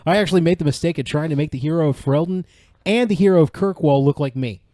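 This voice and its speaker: A man's voice: a little high, fast talker, mellow, no room sound, studio quality. A man co-hosting a podcast in casual banter, giving emphatic, opinionated takes. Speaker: podcast co-host.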